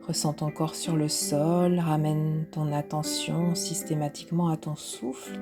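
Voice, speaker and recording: A woman instructor leading a guided relaxation meditation in a soft, soothing, unhurried way with pauses, with music behind her. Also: low-pitched. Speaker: yoga nidra instructor.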